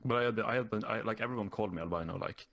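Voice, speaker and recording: Young Norwegian guy, fairly low voice, halting and casual, plenty of fillers, speaking into a desktop mic on a call. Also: creaky, fairly bright tone. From Norway. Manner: hesitant, many fillers and restarts. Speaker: guest YouTuber. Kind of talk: casual interview chat.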